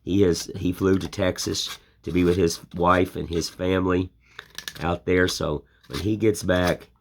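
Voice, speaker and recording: A man's voice: low-pitched, fairly even intonation, unhurried, slight background noise. An older American man with a folksy, plainspoken way of talking, rambling in a casual chat while he shows his woodcarving. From United States. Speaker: woodcarving channel host.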